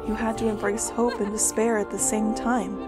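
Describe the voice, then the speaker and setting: A woman voicing a wise pony elder calmly explaining old secrets, in a fan audio drama. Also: mid-range voice, moderate pace, loud music behind the voice, lightly acted. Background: musical score.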